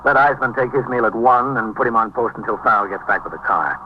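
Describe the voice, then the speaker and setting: Casting: male actor as brisk, authoritative American precinct captain, dry and businesslike, on dull vintage radio. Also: mid-range voice, lively intonation, close to an everyday voice. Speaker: lead actor and narrator of police drama. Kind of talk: 1950s radio drama acting and narration. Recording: dull, hissy 1950s radio recording.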